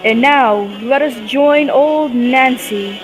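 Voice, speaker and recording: A woman announces an old-style radio program at a slow pace. Her voice is fairly high, with prominent music behind. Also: low-quality recording. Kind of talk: scripted old-time radio introduction.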